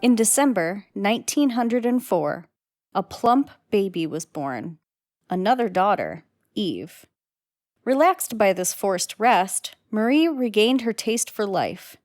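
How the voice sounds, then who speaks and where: A woman's voice: medium pitch, expressive, takes it slowly, darkish tone, no echo, studio quality. A woman reading scripted narration as the narrator of an old time radio drama.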